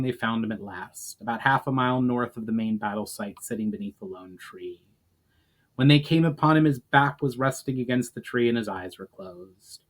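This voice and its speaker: A man narrating a fantasy story as its author, in a measured, formal storytelling manner. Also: mid-range voice. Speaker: author reading own book. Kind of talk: dramatic audiobook-style narration of fantasy fiction.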